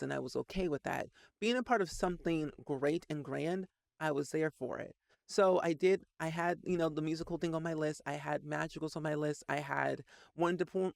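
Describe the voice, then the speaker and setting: A man in his late twenties tells a long personal story, his somewhat high voice catching on a gravelly edge. He rambles and leans on "like" constantly. The recording is dry and close. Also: no echo. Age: 20s. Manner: rambling, frequent "like" fillers. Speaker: Sims content creator.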